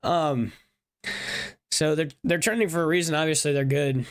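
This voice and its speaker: A man hosting a gaming channel, chatting casually through a let's-play with a raspy, congested voice from a sinus illness, amused and coughing now and then. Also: a little high, moderate pace. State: raspy, recovering from sinus trouble. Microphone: headset. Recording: loud steady background noise.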